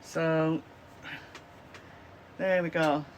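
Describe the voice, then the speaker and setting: A woman in her fifties with a Lancashire accent, a chatty crafter with a chesty cough and a hoarse voice, rambling to camera from her small craft room with lots of fillers. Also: low-pitched, little pitch movement, drawn-out words, a little hiss or hum. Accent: Lancashire English. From Lancashire, England. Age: 50s. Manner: rambling, with many "um, so yeah" fillers. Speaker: craft vlogger. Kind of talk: casual chatty to-camera vlog. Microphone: camera at desk, close framing.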